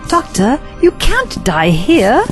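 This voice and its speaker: Worried sci-fi companion female actor, high animated voice, questioning and insistent, audio drama. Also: slow, music in the background, exaggerated voice. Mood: pleading, worried. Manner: pressing, questioning.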